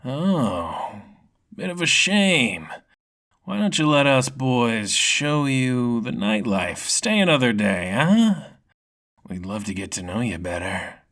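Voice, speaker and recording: A man's voice: medium pitch, very animated, takes it slowly, a bit bright, heavily acted. A man voicing a smug, predatory street thug in a fantasy story, oily and mock-friendly. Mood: leering.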